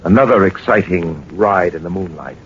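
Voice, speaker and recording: An actor playing a brisk, commanding gentleman adventurer in a 1940s radio drama, clipped and authoritative. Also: deep voice, lively intonation. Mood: brisk, knowing. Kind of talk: 1940s radio drama acting and first-person narration. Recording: muffled, noisy 1940s radio recording.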